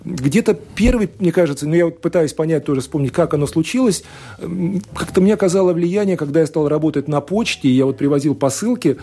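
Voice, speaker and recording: Male radio guest from Latvia, academic and politician, mellow husky voice, hesitant, fillers. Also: a little high, a little fast. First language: Russian. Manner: thoughtful, hesitant, many fillers and restarts. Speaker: guest, law scholar and politician. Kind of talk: radio interview answers.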